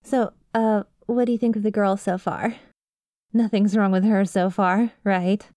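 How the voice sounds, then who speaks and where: A woman's voice: medium pitch, fairly even intonation, a little slow, warm tone, no room sound, clean studio sound, natural voice. A woman playing a nervous, clingy young best friend with a secret obsession, rambling hesitantly and casually in an ASMR roleplay. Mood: defensive, anxious.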